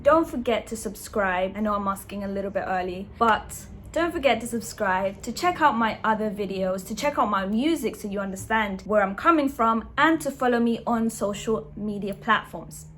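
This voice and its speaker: Bright, chatty young woman. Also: mid-range voice, animated, moderate pace, few pauses, a little hiss or hum. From Ecuador, raised in London. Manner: chatty, upbeat and self-mocking. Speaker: songwriter and vlogger. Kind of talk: casual personal storytelling to camera.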